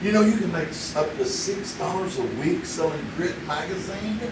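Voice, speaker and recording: A man playing a good-natured, naive young fellow who stalls and hesitates before he answers. His voice sits a little high. The sound is roomy theater audio with noise behind it. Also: moderate pace, natural voice. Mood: goofy. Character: naive young man JC, comic-book reader. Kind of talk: 1950s-style radio drama acted live on stage. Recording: echoey theater sound from a distant mic.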